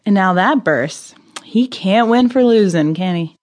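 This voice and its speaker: An actress playing a breezy, irreverent amateur sleuth who loves gossip, wry and chatty in an audio drama. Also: female voice, medium pitch, animated, measured pace, darkish tone, natural voice. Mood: gossipy.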